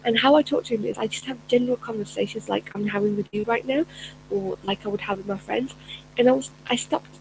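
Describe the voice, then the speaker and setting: A woman's voice: fairly high pitch, fast talker, creaky, background noise. A middle-aged woman, a personal development coach, talking earnestly to camera in a chatty way with many fillers and restarts. Her first language is Urdu. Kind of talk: casual spoken talk to camera.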